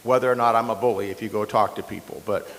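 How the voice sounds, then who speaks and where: A man, an incumbent county supervisor, answering in a candidate debate with a loud, slightly hoarse voice and a defensive, chatty manner. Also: lowish voice, steady tone, quick pace, slight background noise. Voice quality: loud strong voice. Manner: defensive, conversational with fillers. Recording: long hall reverb.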